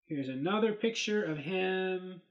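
A man in his thirties, hosting a casual commentary on movie set photos, thinking aloud with lots of fillers, recorded at a desk webcam in a small dark room. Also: high-pitched, unhurried, lo-fi sound. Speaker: host.

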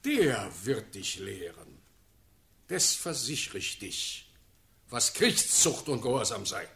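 A commanding, sardonic prince-elector in a classical radio drama. He declaims slowly in a mid-pitched voice, with very lively swings of pitch and force. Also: vocal fry, full character voice. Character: imperious, quick-tempered German prince-elector, commanding and sardonic. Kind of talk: classical German radio play acting in verse.

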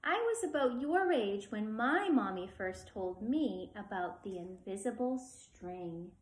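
Woman playing reassuring mother, storybook, soothing, patient, slow delivery, echoey room. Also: medium pitch, expressive. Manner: soothing, assured.

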